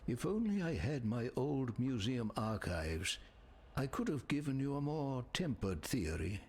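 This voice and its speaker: An actor playing a grave, scholarly man in a fantasy video game, speaking in measured, literary phrases with a husky voice. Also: mid-range voice, big pitch swings, darkish tone, exaggerated voice. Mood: pensive, scholarly. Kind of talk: scripted video game dialogue.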